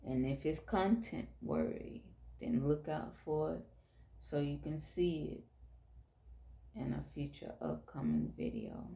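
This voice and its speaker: Hoarse, gravelly Southern woman talking slowly. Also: low voice, expressive, dark tone, reverberant. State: hoarse, tired voice. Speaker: podcast host. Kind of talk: intimate late-night monologue.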